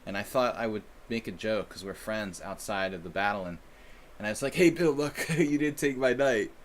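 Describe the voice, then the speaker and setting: A man's voice: on the low side, moderate pace, noisy background. A man from the United States streaming chess and chatting casually with viewers in a rambling, self-deprecating way. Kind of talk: casual live-stream chat.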